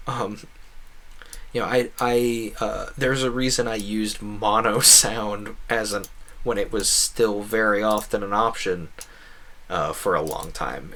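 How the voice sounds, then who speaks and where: A man's voice: on the low side, fairly bright tone. A man giving casual gameplay commentary as a streamer, thinking aloud in a wordy, meandering way. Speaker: game streamer.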